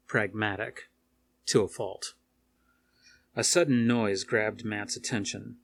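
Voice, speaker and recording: A man's voice: on the low side, fairly bright tone, a little hiss or hum. A man reading an action horror novel aloud as scripted narration, with a dramatic storytelling manner. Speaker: audiobook reader.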